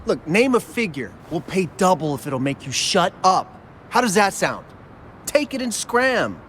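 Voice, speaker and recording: A man's voice: high voice, very animated, a little slow, fairly bright tone, a little hiss or hum, full character voice. A man voicing a rude, menacing male thug in a video game, brusque and hostile.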